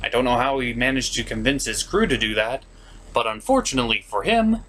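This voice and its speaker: A man's voice: medium pitch, animated, a little fast, fairly bright tone. A man delivering scripted comedic review commentary as a show host, emphatic and exasperated, with some swearing.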